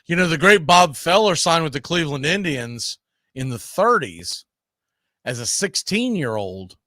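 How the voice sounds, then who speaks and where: A man with a fairly high, very bright voice hosts casual sports talk. He speaks haltingly, circling back to rephrase his points, on a close, dry recording. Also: big pitch swings, no room sound. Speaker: host of a live baseball history radio show. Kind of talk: casual sports chat with co-host.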